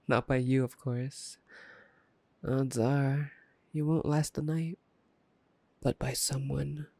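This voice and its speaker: A taunting male vampire, acted by a man, speaks in a slow, gravelly drawl with little rise or fall. He is playful and predatory, teasing the listener with soft menace in a close, dry ASMR roleplay. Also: on the high side, dry recording. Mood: amused, musing. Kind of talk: intimate ASMR roleplay monologue.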